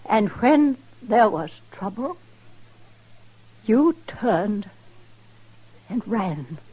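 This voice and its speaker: A woman's voice: mid-range voice, sing-song, very slow, slightly rough, lo-fi sound, exaggerated voice. An older actress playing a proud, stern grandmother in a radio western, formal and dignified in manner. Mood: stern, disappointed. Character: proud, stern old grandmother of a distinguished family. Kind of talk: 1950s radio western acting.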